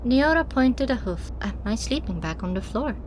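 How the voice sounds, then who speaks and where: A woman reading a first-person story as an audiobook narrator, with measured, dramatic delivery. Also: a little high, expressive, a little hiss or hum. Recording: music playing behind the voice. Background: music.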